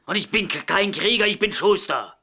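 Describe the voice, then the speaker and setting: A man's voice: high-pitched, lively intonation, normal speed, sharp tone, husky, full character voice. An actor playing an earnest, sceptical young Dakota man, a shoemaker, arguing his case in a German radio play. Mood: argumentative. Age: young adult. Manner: earnest, argumentative.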